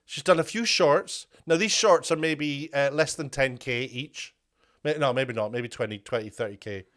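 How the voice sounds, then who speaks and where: A man's voice: medium pitch, normal speed, fairly bright tone. A man delivering a ranting commentary video, indignant and full of restarts and repeated words. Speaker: media commentator.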